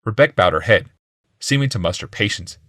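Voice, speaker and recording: A man's voice: on the low side, lively intonation, fairly quick, sharp tone, no room sound, clean studio sound. A man reading a fantasy audiobook as a dramatic scripted narration.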